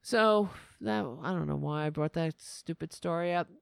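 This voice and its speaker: A woman's voice: on the low side, normal speed, creaky, smooth, no echo, studio quality. A woman from Staten Island, telling a rambling, profane comic story in a casual monologue and laughing at her own jokes. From Staten Island, New York.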